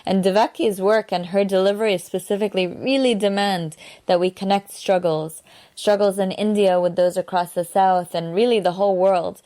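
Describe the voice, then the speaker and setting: A woman's voice: medium pitch, fairly even intonation, normal speed, warm tone. A woman co-hosting a podcast in an upbeat, conversational way. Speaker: podcast co-host. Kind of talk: casual chat.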